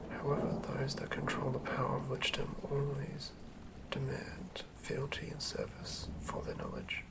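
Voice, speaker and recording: An ASMR host speaking in a soft, breathy whisper, gently and quietly sharing tabletop fantasy material. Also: medium pitch, little pitch movement, measured pace. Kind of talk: whispered ASMR, chatting and reading aloud from a game manual.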